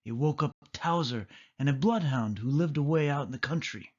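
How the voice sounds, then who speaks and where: A man reading a children's story aloud as storyteller, with expressive narration. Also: on the high side, moderate pace, muffled recording.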